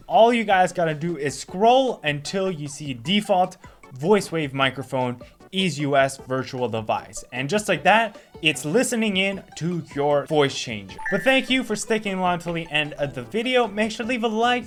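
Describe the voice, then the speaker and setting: A man hosting a casual gaming video, chatting upbeat and walking viewers through software. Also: sits high, sing-song, normal speed, soft background music. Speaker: video host.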